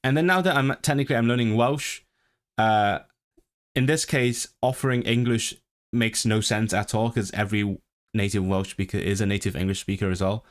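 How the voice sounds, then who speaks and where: A man's voice: lowish voice, fairly even intonation, normal speed. A man whose first language is Cantonese, chatting casually on his livestream, rambling with lots of restarts and self-deprecating asides. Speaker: language-learning streamer. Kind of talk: casual live-stream chat.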